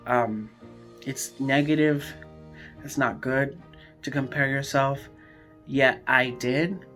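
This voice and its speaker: An eighteen-year-old university student speaking slowly and hesitantly about self-doubt. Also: mid-range voice, a bit bright. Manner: hesitant, slow, reflective. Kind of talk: personal testimonial. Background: music.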